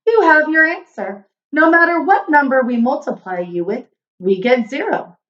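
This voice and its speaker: Jovial king of numbers, played by a woman, storybook reading, exclaiming, easily amazed, raised pitch, muffled lo-fi sound. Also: animated, normal speed, fairly bright tone. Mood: surprised, pronouncing. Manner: exclaiming, proclaiming.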